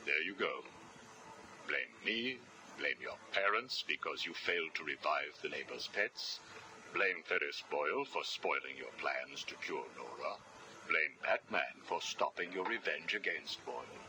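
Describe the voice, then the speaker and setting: An actor playing a smug, sinister psychiatrist villain in a video game audio log, probing and taunting with clinical, accusatory coldness. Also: male voice, rumbling low, animated, a little slow. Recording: noisy interview audio log.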